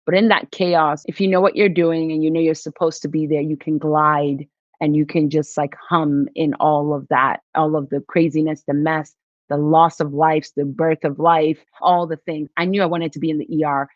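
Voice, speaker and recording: A woman from Nigeria talks in an earnest, reflective way as a guest on a podcast. Her voice is on the low side, recorded dry and a little dull, as if over a modest mic. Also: little pitch movement, moderate pace, dry recording. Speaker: physician and investor guest. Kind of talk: podcast interview.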